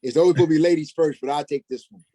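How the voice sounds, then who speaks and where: A man's voice: a little high, fairly even intonation, very fast, mellow. A man speaking casually as a guest actor in a panel chat. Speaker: stage actor, interview guest.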